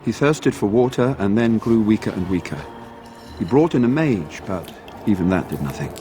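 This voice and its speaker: A man's voice: fairly low pitch, lively intonation, moderate pace, background noise, close to an everyday voice. Solemn, courteous fantasy knight voiced by a man. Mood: grave. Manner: formal, archaic phrasing. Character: male knight, solemn, courteous, devoted village defender. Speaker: game character, knight. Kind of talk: fantasy game dialogue acting.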